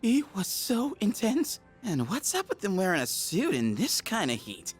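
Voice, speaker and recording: A man's voice: high voice, huge pitch swings, moderate pace, faint music behind. A man voicing a boisterous, goofy teenage boy with slangy speech in a video game. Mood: awed. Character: goofy, excitable teenage boy, loud class clown. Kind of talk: animated video game dub.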